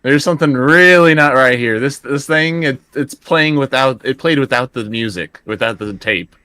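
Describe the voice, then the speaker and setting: A man's voice: medium pitch, normal speed, pushed and bright, clean tone, no room sound. A man playing a tabletop roleplaying game, speaking casually and often in character, hesitating and repeating his words.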